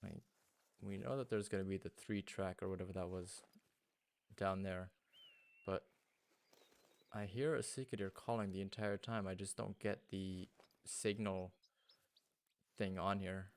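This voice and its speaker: A man's voice: deep voice, fairly even intonation, unhurried, gravelly, pauses often, clear, resonant tone. A man whose first language is German, chatting casually with viewers while streaming a hunting game and thinking aloud. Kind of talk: casual gaming livestream chat.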